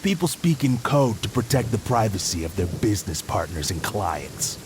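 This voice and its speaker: An actor playing a scheming, know-it-all bandit in a video game, gravelly, conspiratorial and condescending. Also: male voice, lowish voice, very animated, normal speed, slight background noise. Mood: knowing, smug.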